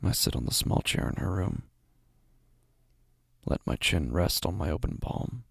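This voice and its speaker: Male actor as worn-out anxious dad, deep husky voice, hushed halting horror narration, close dry sound. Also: animated, no echo, full character voice. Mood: weary, brooding. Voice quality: gravelly, husky, often breathy. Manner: hushed, halting delivery with heavy sighs. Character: exhausted, anxious father haunted by his daughter's calls. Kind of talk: first-person horror audio drama narration.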